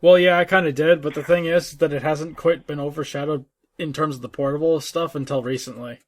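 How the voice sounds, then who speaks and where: A man's voice: a little high, steady tone, brisk. A young man co-hosting a podcast in casual, joking conversation. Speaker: podcast co-host.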